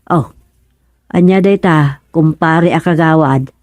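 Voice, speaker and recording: An actress with an Ilocano Filipino accent playing a blunt, needling village wife who asks pointed questions in a radio comedy drama. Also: female voice, sits low, takes it slowly, a little mellow, no echo. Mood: questioning.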